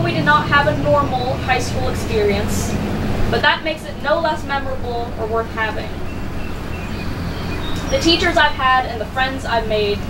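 A teenage girl with a high voice gives an earnest, measured graduation speech from a podium. She sounds set back from the microphone, with a clear hum of background noise in the room. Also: far from the mic. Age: teens. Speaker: salutatorian giving graduation speech.

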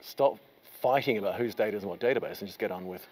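A man's voice: fairly low pitch, fast, husky, low-quality recording. A man in his forties hosting a conference interview, asking long, rambling questions in an enthusiastic, chatty way, recorded on a lapel mic in a conference room. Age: 40s. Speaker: interviewer host. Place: conference room with a curtain backdrop.

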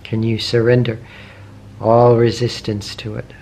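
Male meditation teacher, mid-pitched, slow and gentle, faint background hiss. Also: monotone, low-quality recording. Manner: slow, soft, gentle questioning with long pauses. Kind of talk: guided meditation.

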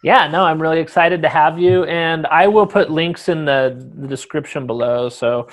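A young man hosts a relaxed interview over a video call, wearing headphones. His voice sits a little high for a man, and he talks in a chatty, rambling way, often restarting his sentences. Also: normal speed, low-quality recording. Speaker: podcast host.

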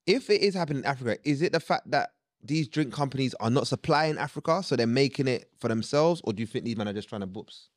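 A man's voice: mid-range voice, animated, quick pace, no echo. A young man with a mid-range voice co-hosting a podcast. He is playful and teasing, and keeps the chat moving with questions. The sound is dry and close on a desk mic. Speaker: podcast co-host. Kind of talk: casual chat. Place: small studio set, indoors. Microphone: desk mic on boom arm.

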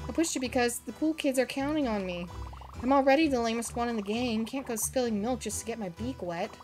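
A woman's voice: on the high side, brisk, darkish tone, faint music behind, close to an everyday voice. A woman doing a squawking nervous duck kid. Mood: anxious. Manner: anxious, defensive, squawks.

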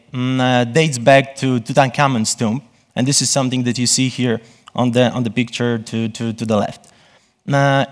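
A man gives a conference presentation from a stage, often pausing and rephrasing himself. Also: medium pitch. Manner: halting, self-correcting delivery. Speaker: conference speaker.

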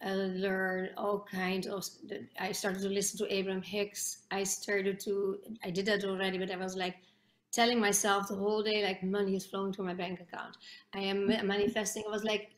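A middle-aged Dutch woman with a Dutch accent, talking earnestly as a guest on a video call interview, with many restarts and fillers. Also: medium pitch. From Netherlands. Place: home room with bookshelves. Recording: echoey room on a video call.

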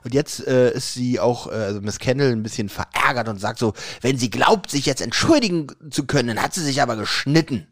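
A man's voice: mid-range voice, animated, fairly quick, hardly any pauses, no room sound, clean studio sound. A man in his forties co-hosting a podcast in casual, colloquial, bantering chat. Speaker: podcast co-host.

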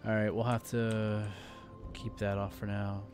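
A laid-back man gives casual gameplay commentary in a lowish voice. He takes his time and thinks aloud, with soft music behind him. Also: flat delivery, a little slow. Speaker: gaming video host. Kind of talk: casual let's play commentary. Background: faint game music.

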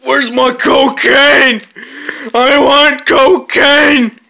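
Someone voicing a shouting drunk unicorn. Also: high voice, level tone, vocal fry, heavily acted. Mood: demanding. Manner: shouting, wailing, drawn-out words. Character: drunk, rowdy male unicorn craving drugs. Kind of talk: home-made plush toy skit. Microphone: camera on a bedroom floor.

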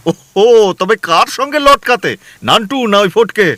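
A man's voice: sits high, animated, normal speed, bright, forceful tone, dry recording. A man playing a jealous, hot-tempered husband. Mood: quarrelsome. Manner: quarrelsome, sarcastic, stammering when agitated. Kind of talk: radio play acting.

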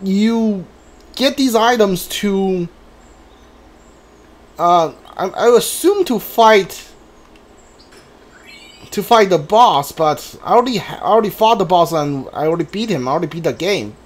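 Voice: medium pitch, expressive, measured pace, long pauses. A video game streamer giving casual, hesitant commentary while thinking aloud.

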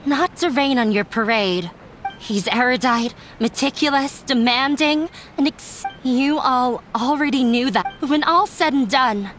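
A woman's voice: high voice, measured pace, fairly bright tone. A woman voicing a frank, pessimistic young member of a theater troupe, blunt and downbeat. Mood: doubtful. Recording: game audio with music behind.

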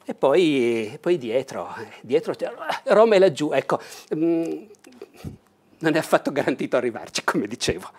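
Older man, enthusiastic board game host, animated explainer, clip-on mic indoors. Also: a little high, normal speed, breathy edge. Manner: animated, leans over table and gestures while explaining. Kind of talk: enthusiastic unboxing talk. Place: bright indoor room with a large table.

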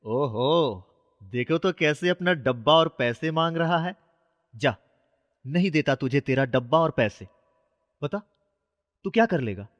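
A man's voice: fairly high pitch, animated, unhurried, pauses often. A man voicing a mocking young street bully and petty thief in a cartoon, taunting and sneering. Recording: muffled, with long reverb.

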